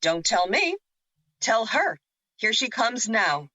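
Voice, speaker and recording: A woman's voice: fairly high pitch, sing-song, slow, bright, forceful tone, no echo, exaggerated voice. A woman acting in an audio drama as a teasing, breezy celestial sister, casual and wry, on a slightly dull recording.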